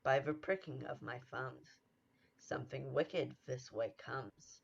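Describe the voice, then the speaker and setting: A woman's voice: rumbling low, unhurried, creaky, breathy edge, voice pushed down, exaggerated voice. A woman playing an ominous supernatural figure in a Shakespeare radio play, declaiming in incantations, on dull lo-fi audio. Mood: chanting, gleeful. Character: ominous witches and conjured spirit.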